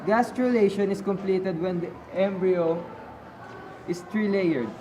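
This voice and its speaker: A man's voice: sits high, noisy background. A man playing a doctor who explains science in a scripted school puppet show.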